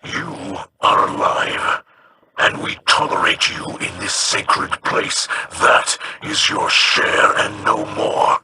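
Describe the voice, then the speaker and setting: A man's voice: fairly low pitch, lively intonation, slow pace, noisy background. A man voicing a grave, proud alien warrior captain in a video game, giving formal, clipped commands. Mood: stern.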